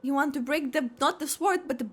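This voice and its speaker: A woman game streamer giving casual reaction commentary, halting as she thinks aloud. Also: sits high, a little hiss or hum. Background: game music.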